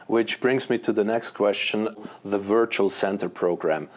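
A man interviewing a guest, asking measured, formal questions. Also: lowish voice.

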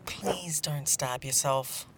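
A woman's voice: low voice, slow pace, noisy background. A woman voicing a practical, world-weary youngster, a terse and wry boy in a fantasy audio drama. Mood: practical, dry. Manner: terse, matter-of-fact, dry.

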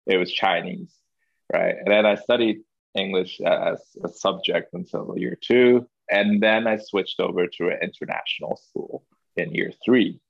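A young man from Hong Kong, a podcast guest, talking in a relaxed, thoughtful way with lots of fillers. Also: sits low, measured pace, vocal fry, no room sound, lo-fi sound. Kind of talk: casual interview.

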